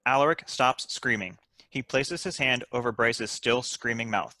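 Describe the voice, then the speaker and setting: A man's voice: mid-range voice, moderate pace, pushed and bright, no room sound. A man reading stage directions aloud as scripted narration for a comedy script.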